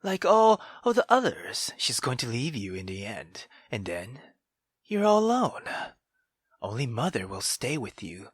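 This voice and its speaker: A man's voice: mid-range voice, wildly swooping pitch, measured pace, slightly rough, no echo, exaggerated voice. A man voicing a sinister, possessive mother in a visual novel, coaxing her child with cold, whispered menace.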